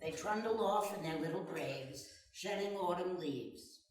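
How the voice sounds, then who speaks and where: A woman's voice: on the low side, measured pace. A woman narrator reading stage directions in a scripted dramatic reading. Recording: very echoey room, distant mic, noise.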